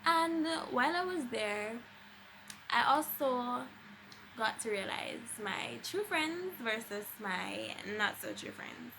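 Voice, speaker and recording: A woman's voice: fairly high pitch, expressive, slow, creaky, pushed and bright, a little hiss or hum, low-quality recording. A young adult woman with a Jamaican accent, chatting casually and expressively as a vlogger telling a personal story, with emphatic conversational delivery.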